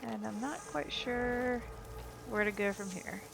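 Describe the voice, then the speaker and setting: A woman's voice: a little high, a little slow, gravelly. A woman giving casual, chatty commentary as she plays a video game, thinking aloud. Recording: game music behind the voice. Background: video game music and sounds.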